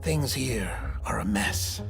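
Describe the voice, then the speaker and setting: An actor playing a weary, earnest middle-aged male official in a video game cutscene, polite and secretive, with a deliberate, dramatic delivery. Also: lowish voice, expressive, creaky, over music, exaggerated voice. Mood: sombre, weary.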